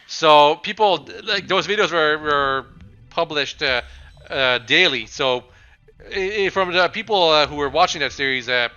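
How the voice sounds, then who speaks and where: A young man talks through a video game in a loose, meandering way, often pausing and restarting his thoughts. His voice is slightly raspy, sits a bit high, and has a very sharp, bright edge. Faint game music plays underneath. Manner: rambling, with frequent pauses and restarts. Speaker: let's play commentator. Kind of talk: casual game commentary.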